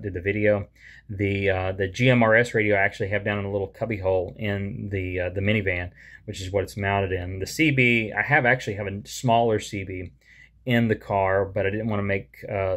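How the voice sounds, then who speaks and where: A man in his thirties hosting his own radio video, explaining things in a relaxed, casual way to the camera from a small room, with plenty of ums and uhs. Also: on the low side. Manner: easygoing, with frequent fillers. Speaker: radio hobbyist host. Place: small indoor room with a wall map.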